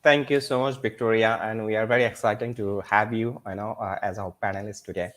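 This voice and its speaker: A man's voice: lowish voice, fairly even intonation, brisk, fairly bright tone. A man hosting an online panel, full of fillers like "uh" and "you know", now and then switching into Bengali. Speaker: host.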